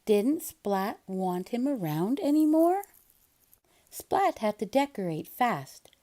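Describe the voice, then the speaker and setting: Female picture-book narrator: expressive, unhurried, higher-pitched, very varied intonation. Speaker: storybook reader. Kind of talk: expressive children's read-aloud narration.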